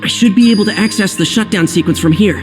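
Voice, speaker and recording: A woman voicing a confident, impulsive teenage boy hero in a video game, over loud music. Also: medium pitch, fast, close to an everyday voice. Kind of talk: animated video game voice acting.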